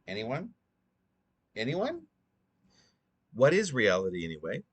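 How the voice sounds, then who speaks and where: A man reading his own poem aloud in an earnest, rhetorical, dramatic recitation with a halting delivery. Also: medium pitch, huge pitch swings, a bit bright, slightly rough.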